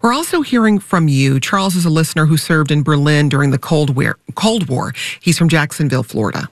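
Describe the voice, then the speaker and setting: A man with a fairly high voice hosts a broadcast interview program. His sound is very dry and close, as he questions and introduces guests. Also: expressive, fairly quick, no room sound. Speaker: radio program host.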